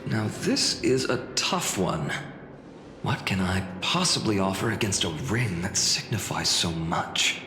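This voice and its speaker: A man's voice: sits low, lively intonation, measured pace. A man acting an earnest young guard hero in a video game, speaking theatrically. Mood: solemn, grieving. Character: young male holy city guard, earnest hero haunted by loss. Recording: echoey reverb, music behind.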